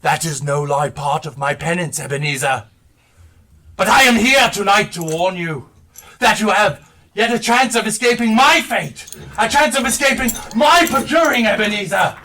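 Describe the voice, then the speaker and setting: A man's voice: high voice, expressive. A man on stage playing the tormented, mournful and stern ghost of a dead businessman, declaiming theatrically. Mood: solemn warning. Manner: slow, declamatory, breaking into anguished shouts and wails. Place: theatre stage, recorded live. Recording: distant live stage play recording.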